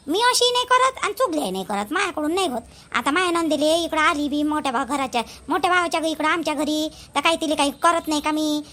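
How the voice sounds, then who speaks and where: A woman's voice: extremely high pitch, pushed and bright, heavily acted. A woman voicing a chatty, aggrieved village housewife in a cartoon, speaking with a Varhadi Marathi accent from Vidarbha in a plaintive, rambling way. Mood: indignant. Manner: gossipy, plaintive, repetitive rambling. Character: chatty, aggrieved village housewife complaining about her sister-in-law. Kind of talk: amateur cartoon dialogue in village dialect.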